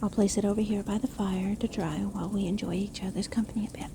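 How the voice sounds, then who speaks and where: A woman playing a seemingly kindly, sly witch who lives alone in a forest, speaking gently and unhurriedly in a fantasy audio roleplay. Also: medium pitch, steady tone, quick pace, creaky, noisy background, close to an everyday voice. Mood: welcoming. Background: rain storm ambience.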